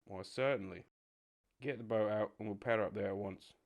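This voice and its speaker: A man voicing a cheerful, confident male water rat who speaks briskly. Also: fairly low pitch, vocal fry.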